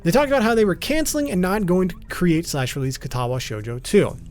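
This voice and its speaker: A man narrates a scripted explainer in a fairly high voice with lively, swinging intonation. He sounds informal, dropping casual asides and stumbling slightly over the odd phrase. Music plays behind his voice. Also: quick pace. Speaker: video host. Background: background music.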